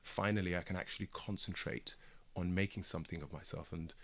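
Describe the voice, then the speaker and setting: A deep-voiced man in his thirties talks in a radio studio. Swahili was his first language, and he makes his points earnestly, leaning hard on key words and sometimes repeating them for stress. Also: fairly even intonation, a little fast, creaky, a bit bright. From Kenya. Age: 30s. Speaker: barrister and broadcaster guest.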